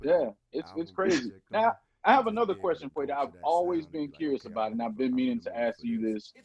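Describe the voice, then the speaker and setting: A man's voice: fairly high pitch, lively intonation, brisk, a little mellow, dull audio. A man co-hosting a radio interview, chatting casually and rambling his way into questions. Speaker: radio show co-host and interviewer.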